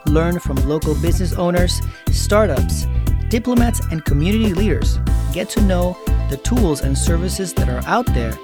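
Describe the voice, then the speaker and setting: A man's voice: sits high, animated, moderate pace, loud music behind the voice. A man hosting a podcast, moving between scripted introduction and conversational interviewing. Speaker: podcast host.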